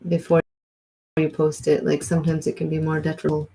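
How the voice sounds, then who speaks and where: A woman's voice: low-pitched, monotone, a little fast, dark tone, husky, poor audio. A woman co-hosting a casual livestream, chatting and reading viewer comments aloud. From Wisconsin. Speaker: co-host of a livestream.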